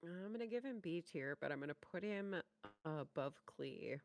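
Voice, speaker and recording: A woman's voice: lowish voice, animated, normal speed. A woman co-hosting a reaction show, chatting casually in a playful, exclamatory way.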